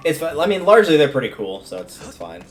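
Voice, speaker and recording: A man's voice: fairly high pitch, sing-song, fast talker. A man livestreaming a video game, giving casual commentary and thinking aloud in a conversational way as he plays. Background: video game music.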